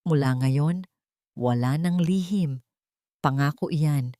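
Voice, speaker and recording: A woman's voice: low-pitched, takes it slowly, mellow, dry recording. Woman narrator as lovestruck male executive, earnest, emotional pleading, romance audio drama, close dry sound. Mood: tender.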